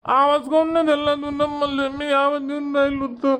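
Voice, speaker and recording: Casting: pestering little cartoon boy, done by a man, very high voice, whiny, repetitive, Varhadi Marathi accent. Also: monotone, moderate pace, fairly bright tone. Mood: pleading. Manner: whining, insistent, repetitive. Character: pestering little village boy begging for money. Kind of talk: cartoon comedy dubbing.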